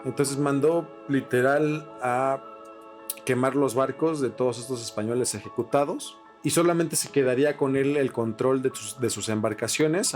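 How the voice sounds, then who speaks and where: A Mexican man hosts a podcast in a casual, explanatory way. His mid-pitched voice is slangy and sweary, with guitar music clearly playing behind him. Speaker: podcast host.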